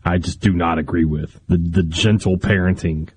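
A man's voice: low-pitched, steady tone, moderate pace, a little hiss or hum. A man co-hosting a sports radio show, blunt and joking, often restarting his words. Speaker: radio co-host. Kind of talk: casual talk radio banter.

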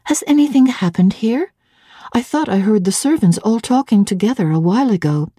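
A woman's voice: medium pitch, lively intonation, normal speed, mellow, slightly rough, no echo, clean studio sound, pitched above the performer's own voice. A woman voicing a young governess in an audiobook, polite but wary, earnest and insistent in her questioning. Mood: testing.

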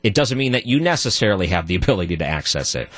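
Deep voiced radio guy ranting solo, gets squeaky when he's worked up, dry close sound. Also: very fast, dry recording. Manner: animated, voice jumps high when worked up. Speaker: radio talk show guest host. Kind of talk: solo talk radio monologue.

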